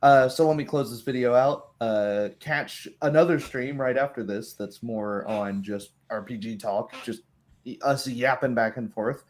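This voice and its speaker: A man's voice: mid-range voice, unhurried. Casual man hosting a roleplaying stream. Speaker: game master and stream host. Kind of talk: casual post-game chat about roleplaying.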